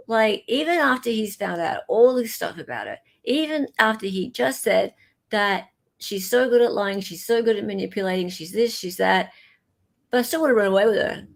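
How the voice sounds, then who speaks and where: A woman's voice: fairly high pitch, moderate pace, gravelly. A woman hosting a livestream with casual, conversational commentary, repeating points for emphasis. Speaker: livestream host.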